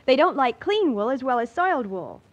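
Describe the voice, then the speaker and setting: An American woman gives instructive tips in a 1940s radio commercial. Her chirpy voice is high and very animated, on a dull old recording. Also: moderate pace. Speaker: radio ad spokeswoman. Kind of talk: 1940s radio advertisement, scripted dialogue. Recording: muffled old radio broadcast.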